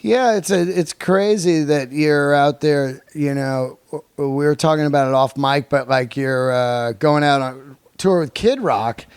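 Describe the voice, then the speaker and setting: A man's voice: a little high, unhurried, smooth, no room sound. A man hosting a casual podcast conversation, speaking emphatically and in slangy language, often repeating himself for effect. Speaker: podcast host, comedian and musician.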